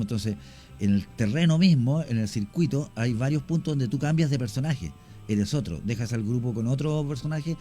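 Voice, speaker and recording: Chilean guy telling ghost stories on the radio, kinda halting, warm mellow voice, soft music under it. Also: mid-range voice. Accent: Chilean Spanish. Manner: storytelling, animated with hesitations. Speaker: guest, cemetery tour guide and actor. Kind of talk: casual radio conversation. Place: radio studio.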